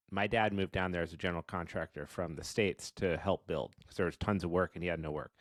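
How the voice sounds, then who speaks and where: Low-voiced relaxed podcast host man. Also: no echo. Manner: relaxed, slangy. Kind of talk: casual podcast conversation.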